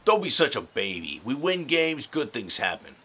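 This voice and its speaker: A man's voice: mid-range voice, big pitch swings, moderate pace, bright, forceful tone, husky, full character voice. A man playing a cocky teenage star football player in an audio drama, smug and bullying.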